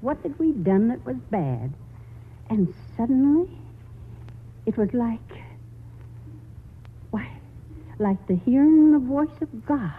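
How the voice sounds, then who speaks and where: Sad old religious grandma, halting n solemn, husky, muffled old radio drama recording. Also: female voice, on the high side, big pitch swings, heavily acted. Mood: solemn, troubled. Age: older. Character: grieving, pious old grandmother. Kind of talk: 1940s radio drama acting.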